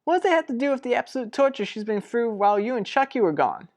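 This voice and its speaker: An actress playing an earnest, protective sister in a radio soap drama, speaking deliberately and persuasively. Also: female voice, fairly high pitch, big pitch swings, a little mellow. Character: earnest, protective sister pleading for a troubled couple. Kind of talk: revived vintage radio soap drama acting.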